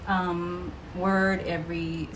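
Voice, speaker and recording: A woman's voice: fairly low pitch, little pitch movement, slow pace, background noise. A middle-aged woman chatting casually as an interview guest, telling anecdotes and quoting remembered dialogue.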